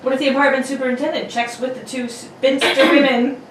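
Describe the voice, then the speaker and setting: A woman's voice: high voice, level tone, a little fast. Playful woman host in a very echoey room. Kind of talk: casual group chat and read-out introductions. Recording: very echoey noisy room, distant mic.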